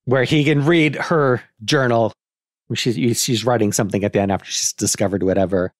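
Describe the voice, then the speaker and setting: A man's voice: mid-range voice, normal speed, no room sound, clean studio sound. A man talking casually as a podcast co-host, animatedly thinking aloud through ideas in a brainstorming discussion.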